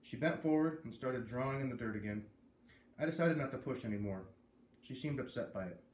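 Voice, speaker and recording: A man reading a children's novel aloud in a deliberate, expressive storytelling way, with pauses, like a teacher to a class. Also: medium pitch, a little hiss or hum. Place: indoor room with a window. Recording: roomy echo, distant microphone.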